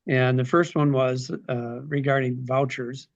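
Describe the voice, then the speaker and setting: Older male moderator on a video call, mid-pitched, reading questions haltingly, dull audio. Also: fairly even intonation, dry recording. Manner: reads questions aloud with frequent ums and uhs. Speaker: forum moderator.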